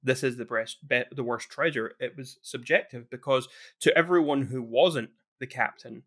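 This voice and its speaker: A man's voice: mid-range voice, normal speed, a bit bright. A man co-hosting a podcast in casual chat, rambling and often restarting his sentences. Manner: chatty, rambling, frequent restarts. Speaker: podcast co-host.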